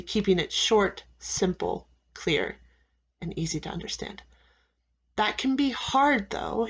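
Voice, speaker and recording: An American woman teaching English, giving students slow, deliberate advice and repeating key phrases with frequent "okay?" checks. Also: medium pitch. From United States. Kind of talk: classroom advice talk to students.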